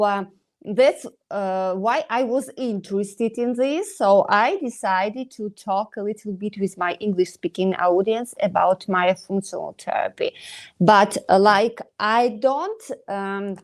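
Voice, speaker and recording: A woman from Ukraine with a Ukrainian accent, Ukrainian her first language, speaking hesitantly with many fillers in a live video interview. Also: mid-range voice. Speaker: endodontist, guest.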